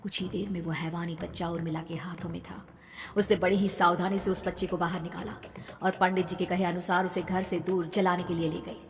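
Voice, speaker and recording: Female narrator, slightly low voice, dramatic horror storytelling, long reverb, faint background noise. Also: flat delivery, brisk.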